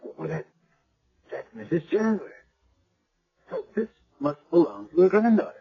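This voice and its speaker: A brisk, questioning doctor with a rough-edged, lively voice. The delivery is informal radio drama acting, on a dull 1970s recording. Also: male voice, high-pitched, heavily acted. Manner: brisk, inquisitive.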